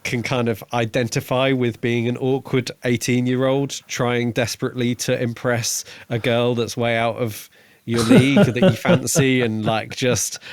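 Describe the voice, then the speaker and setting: A man's voice: medium pitch, moderate pace, no room sound. A man co-hosting a podcast, talking informally and enthusiastically in a casual book review chat. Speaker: podcast co-host.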